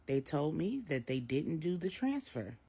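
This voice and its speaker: A woman's voice: deep voice, sing-song, measured pace, warm tone, slightly rough, pitched above the performer's own voice, exaggerated voice. A woman voicing a troubled, exasperated grown son in a story, plaintive and protesting. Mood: frustrated. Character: troubled, exasperated adult son searching for his wife.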